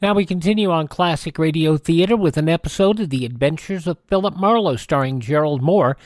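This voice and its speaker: A man's voice: on the high side, a little fast, dry recording. An American man reading scripted news and show introductions as a radio host in a studio. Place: close, dry studio recording.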